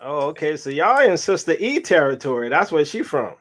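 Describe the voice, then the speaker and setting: A man hosting an interview, relaxed and casual, laughing easily. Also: a little high, brisk. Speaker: host.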